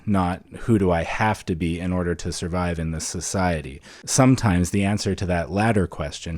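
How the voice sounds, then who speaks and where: Low-voiced man, earnest podcast talk. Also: a little mellow, no room sound, studio quality. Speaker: podcast co-host. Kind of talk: reflective conversational discussion.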